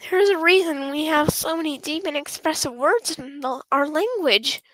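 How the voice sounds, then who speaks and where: Woman voicing a prim, high-voiced, lecturing schoolgirl. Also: expressive, moderate pace, a bit bright, no echo, poor audio. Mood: lecturing, insistent.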